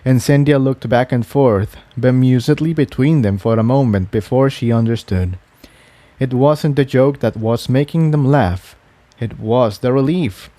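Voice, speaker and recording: A man's voice: medium pitch, a little slow, dark tone, dry recording. A man reading a scripted adventure story aloud as its narrator. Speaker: fan fiction narrator.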